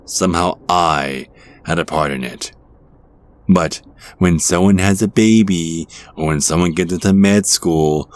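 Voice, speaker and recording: A man playing a charming, playful, ageless devil posing as a stranger, in an intimate ASMR roleplay style. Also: low-pitched. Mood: wry, bitter.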